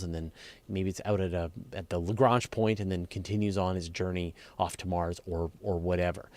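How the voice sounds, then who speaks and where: A man's voice: lowish voice, a little mellow, no echo. A man hosting a live question-and-answer show, explaining science casually and off the cuff with emphatic, think-aloud delivery.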